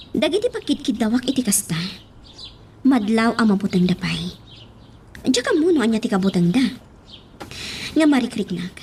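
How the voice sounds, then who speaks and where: An actress playing a curious, pleading, headstrong young daughter in a radio drama. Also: female voice, on the high side, a little mellow, a little hiss or hum.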